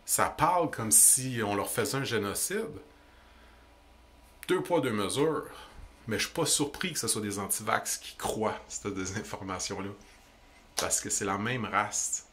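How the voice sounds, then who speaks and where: A young man with a Québécois French accent, ranting indignantly into the camera about politics in quick, emphatic bursts. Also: lowish voice, expressive, a bit bright. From Quebec. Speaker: vlogger. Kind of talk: heated political rant.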